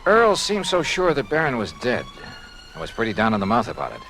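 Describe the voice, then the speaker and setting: An actor playing a level-headed, persistent insurance investigator in an old-time radio detective drama, probing and matter-of-fact. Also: male voice, mid-range voice, wildly swooping pitch, fairly quick, music in the background, rough recording. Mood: reflective narration. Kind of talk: 1950s radio detective acting, questioning and narrating.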